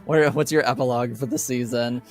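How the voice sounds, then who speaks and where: A man's voice: mid-range voice, steady tone, a little fast, soft background music. A man running a tabletop roleplaying game as game master, chatting casually with his players.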